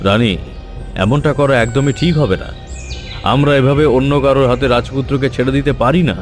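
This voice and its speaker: A man's voice: mid-range voice, fairly even intonation, a little fast, soft background music, close to an everyday voice. A man playing a protective, cautious king and father in a cartoon fairy tale, formal and authoritative. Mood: cautious, concerned.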